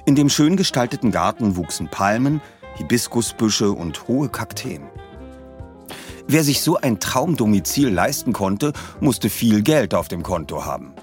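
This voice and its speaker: A man narrates a detective story in a fairly low voice. His delivery is measured and clearly articulated, with expressive melody and faint music.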